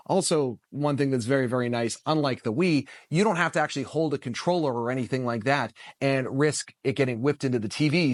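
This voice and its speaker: Husky-voiced dad, 30s, getting over a cold, chatty upbeat review, dry close sound. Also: male voice, mid-range voice, no echo. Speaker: stay-at-home dad reviewing a toy. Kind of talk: casual product review to camera.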